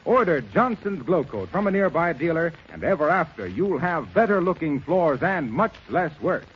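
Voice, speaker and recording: A cheerful mailman-salesman, fairly high and lively in pitch. His patter is persuasive and polished, in 1930s radio style on a muffled recording. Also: moderate pace, slight background noise. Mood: upbeat selling. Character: smooth-selling mailman pitching household floor polish. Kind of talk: 1930s radio advertising in character.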